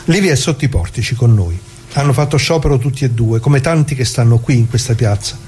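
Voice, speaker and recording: Man acting anguished everyday guy telling his story in a radio play, soft mellow voice, halting, bit of noise behind. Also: mid-range voice, close to an everyday voice. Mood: sorrowful, confiding. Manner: halting, musing, rhetorical questions, repeats himself. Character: anguished ordinary man in the square, plain-spoken antifascist witness. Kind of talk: theatrical monologue, conversational radio storytelling.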